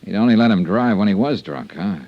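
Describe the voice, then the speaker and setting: An actor playing a skeptical, wry private investigator in a 1950s radio detective drama, with a dry, hard-boiled delivery. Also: male voice, fairly low pitch, expressive, fairly quick, no echo. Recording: dull old radio recording, music.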